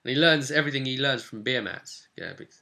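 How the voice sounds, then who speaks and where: A man casually recounting a role-playing session as game master, telling it in an animated storyteller's way. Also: medium pitch, fast, vocal fry.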